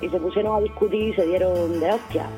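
A performer playing a band member who retells a backstage fight in a gossipy, animated way. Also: mid-range voice, quick pace, faint music behind, close to an everyday voice. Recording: dull lo-fi sound.

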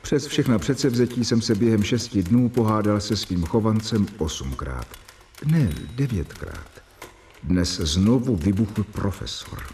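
An actor playing an earnest young doctor who narrates his diary in a measured, dryly ironic way in a radio play. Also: on the low side, expressive, darkish tone, recorded from a distance, a little hiss or hum. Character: earnest young male doctor, patient but strained. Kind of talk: radio drama acting.

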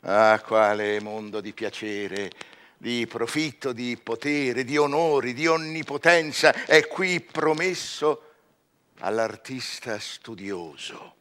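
An actor playing a proud scholar hungry for magical power in a verse drama, grandiose and declamatory, swinging between murmurs and swelling phrases. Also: male voice, mid-range voice, slow, a bit bright. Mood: grandiose, exultant. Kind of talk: theatrical verse radio drama.

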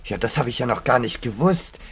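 A man's voice: medium pitch, lively intonation, a little fast, fairly bright tone, husky, heavily acted. An actor playing a sickly, easily flustered mother's boy in his twenties, nervous and plaintive, in radio play style. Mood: curious, surprised. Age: 20s. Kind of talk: vintage German radio play acting. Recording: old radio play with music behind.

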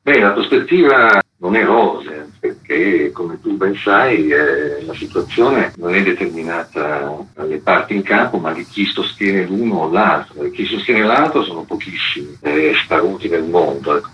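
Distant, low-voiced man being interviewed. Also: fairly bright tone, dull audio, a little hiss or hum. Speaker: interviewed theatre director. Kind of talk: spoken interview answers.

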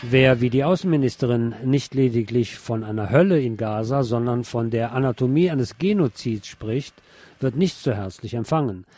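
A man reading scripted radio news in a measured, formal manner. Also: mid-range voice, dark tone, no room sound.

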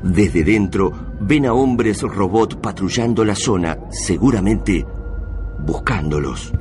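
A man narrating a radio drama in a solemn, measured, literary manner. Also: sits low, lively intonation. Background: music.

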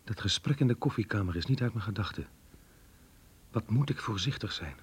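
An actor playing a cautious, reasonable headmaster in a radio play, with noisy ambience. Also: male voice, lowish voice, lively intonation, brisk, husky. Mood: guarded, reasonable. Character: guarded, reasonable male head of a school. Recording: noisy, with room ambience behind.

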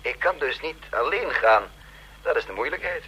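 A polite, self-possessed intelligence boss in a radio play. He speaks formally in a sharp-toned, slightly rough voice, measuring his words with small hesitations. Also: on the high side, lively intonation, normal speed, heavily acted, low-quality recording. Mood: courteous. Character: courteous, self-possessed male intelligence chief. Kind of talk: Dutch radio drama acting.

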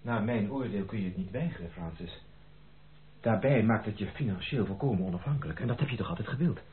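An actor playing an earnest, protective gentleman cousin in love, with emphatic, formal delivery in a Dutch radio play. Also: on the low side, lively intonation, fast, a little hiss or hum, natural voice. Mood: measured, reserved. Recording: muffled, narrow-band old radio recording.

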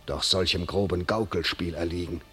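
An actor playing a proud, bitter English general dying on a medieval battlefield, declaiming classical German verse solemnly. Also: male voice, sits low, steady tone, normal speed, bright, forceful tone, slightly rough, faint music behind, exaggerated voice. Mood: indignant.

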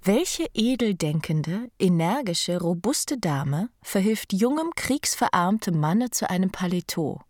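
A genteel lady declaiming a personal advertisement in a radio play. Her voice sits a little low for a woman, and she sets out each phrase deliberately, recorded close and dry. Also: animated, a little mellow, no echo. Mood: declamatory.

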